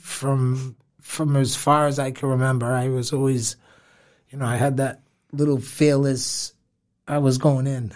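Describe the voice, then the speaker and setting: A man's voice: mid-range voice, flat delivery, measured pace, a little mellow. Laid-back man telling stories as guest. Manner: laid-back, short replies, chuckles. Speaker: guest, boxer. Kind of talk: casual interview storytelling.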